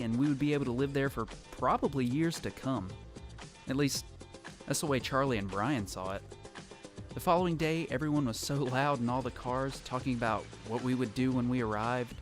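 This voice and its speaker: A man's voice: mid-range voice, fairly bright tone, close to an everyday voice. A man playing a lonely, reflective survivor who narrates his post-apocalyptic journey in a scripted audio drama.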